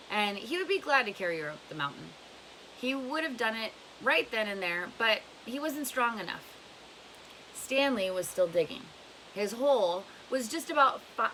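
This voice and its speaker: A woman's voice: mid-range voice, bright, forceful tone, low-quality recording. A woman narrating a book aloud in a storytelling style. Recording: faint hiss behind voice.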